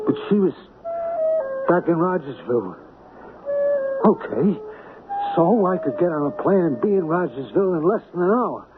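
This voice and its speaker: An actor playing a stubborn, doubting small-time hustler with an American accent and slangy talk, in an old-time radio drama. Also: male voice, a little high, close to an everyday voice. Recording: tinny, noisy 1970s radio broadcast.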